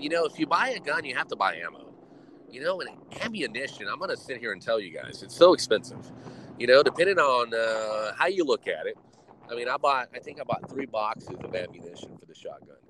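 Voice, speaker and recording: A man's voice: medium pitch, a little hiss or hum, poor audio. A man hosting a casual live stream, rambling and swearing freely as he chats with viewers. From Texas, US. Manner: rambling, profane, animated storytelling. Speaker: streamer host.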